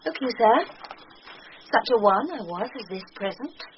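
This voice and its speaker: A woman's voice: on the high side, expressive, normal speed, lightly acted. An actress with a British accent playing a proud, witty young noblewoman in a Shakespearean radio drama, with an arch, theatrical manner. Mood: dry, teasing. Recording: dull, noisy old radio drama recording.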